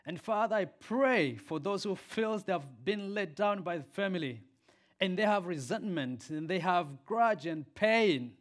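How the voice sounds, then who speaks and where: A man preaching a sermon and leading a prayer, a native Swahili speaker who swings from loud emphatic peaks to soft quiet lines. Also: high voice, expressive, measured pace.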